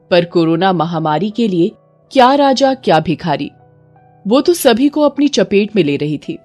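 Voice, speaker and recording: A woman's voice: lowish voice, mellow. A woman reading a scripted horror story in a dramatic storytelling manner. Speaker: story narrator. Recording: music bed under the voice. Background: background music.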